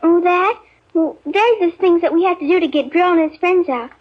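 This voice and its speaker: A woman's voice: very high-pitched, normal speed. A woman playing an impatient, evasive little girl under nine in a 1950s radio drama. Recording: muffled 1950s radio recording.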